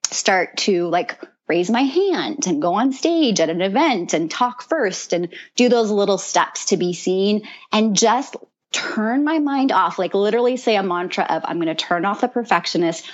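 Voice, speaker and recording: Earnest woman guest on dull audio. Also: mid-range voice. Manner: earnest, animated, with repeated words. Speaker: guest, mentor to creative women. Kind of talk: casual podcast conversation.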